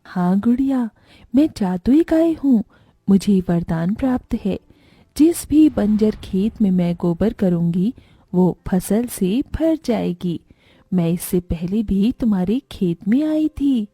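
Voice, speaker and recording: A woman voicing a kindly, solemn magical talking cow in a children's cartoon story, in a measured manner. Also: medium pitch, animated, warm tone, breathy edge, no echo. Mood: solemn, benevolent.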